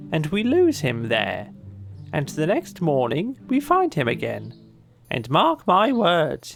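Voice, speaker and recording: A woman doing a bossy, animated storybook rabbit. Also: sits low, vocal fry, heavily acted. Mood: scheming. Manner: earnest, emphatic, pompous. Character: bossy, self-important male rabbit, busy schemer. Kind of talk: children's audiobook character acting.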